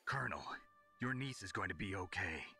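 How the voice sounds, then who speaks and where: An actor playing a stoic special-forces soldier in a video game, gruff, gravelly and terse. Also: male voice, sits low, animated, a little fast, sharp tone, soft background music, exaggerated voice. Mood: terse, guarded. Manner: terse, guarded. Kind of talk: video game radio dialogue.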